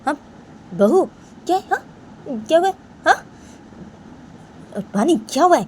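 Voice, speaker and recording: A woman's voice: very high-pitched, big pitch swings, measured pace, a little hiss or hum, pitched above the performer's own voice, exaggerated voice. A woman voicing a concerned older stepmother who keeps asking puzzled questions.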